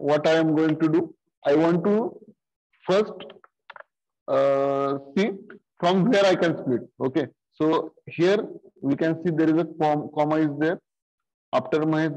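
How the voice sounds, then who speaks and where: A middle-aged man walks through code at a very slow, halting pace, filling gaps with "uh" and "okay". His voice sits fairly high for a man but sounds dark and soft. The sound is dull and lo-fi, as from a laptop on a video call. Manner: slow, halting, many fillers and repeated "okay". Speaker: programming teacher. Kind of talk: screen-share coding lesson. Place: video call with screen share.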